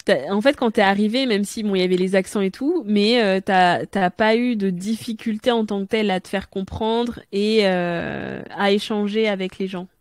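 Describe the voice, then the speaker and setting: A woman hosting a podcast, chatting casually and asking her guest follow-up questions with quick supportive reactions. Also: mid-range voice, no echo, clean studio sound. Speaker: podcast host. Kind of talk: casual conversational interview.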